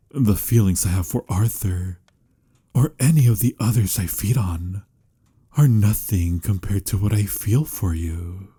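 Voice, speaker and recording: Man voicing a husky, very deep romantic vampire. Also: warm tone, full character voice. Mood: amused, reassuring. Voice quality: husky, breathy, slipping into whispers. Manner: intimate, soothing, slightly formal endearments. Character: tender, teasing, lovelorn male vampire. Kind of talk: romantic ASMR audio roleplay.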